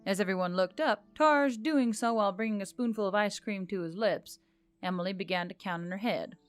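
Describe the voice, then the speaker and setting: A woman's voice: mid-range voice, fairly quick, smooth, no room sound. A woman reading scripted narration of a fantasy story. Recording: background music under the voice. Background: music.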